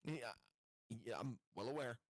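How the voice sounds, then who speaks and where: A man's voice: medium pitch, a little slow, no echo, studio quality. A man chatting casually as a player in a tabletop roleplaying game.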